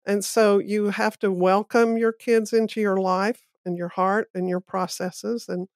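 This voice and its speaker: A woman's voice: mid-range voice, fairly even intonation, no room sound, clean studio sound. A woman hosting a podcast, speaking thoughtfully and affirmingly as she reflects on a text. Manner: thoughtful, affirming, slow and measured. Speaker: podcast host. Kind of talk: podcast interview conversation.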